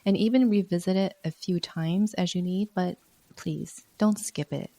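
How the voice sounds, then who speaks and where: A woman hosting a solo podcast, speaking in an earnest, encouraging way, her voice slightly hoarse from a sore throat. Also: on the low side, fairly even intonation, moderate pace, dark tone, no echo. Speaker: podcast host.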